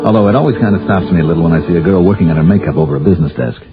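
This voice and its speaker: A very muffled old radio recording with music behind. a hardboiled male investigator in a low voice, telling his case with cool, dry, sardonic wit. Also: very fast. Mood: wry narration. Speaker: narrator and lead detective. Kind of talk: 1950s radio detective narration and acting.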